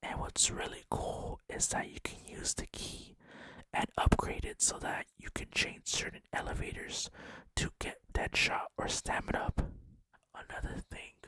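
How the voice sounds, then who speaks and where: A gaming channel host whispering ASMR facts in a breathy, hushed and deliberate way, close to the microphone.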